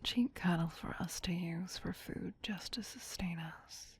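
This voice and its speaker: Woman playing a slow, whispering, possessive vampire queen. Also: on the low side, full character voice. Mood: wistful, regretful. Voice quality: breathy whisper. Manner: slow, soothing, intimate. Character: possessive, tender vampire queen soothing her human pet. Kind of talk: whispered ASMR roleplay.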